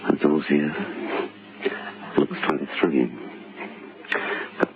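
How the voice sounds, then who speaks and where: An actor playing a brooding, self-pitying middle-aged husband who mutters haltingly in an old radio drama. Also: male voice, rumbling low, fairly even intonation, exaggerated voice. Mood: bitter brooding. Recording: noisy, tinny vintage radio recording.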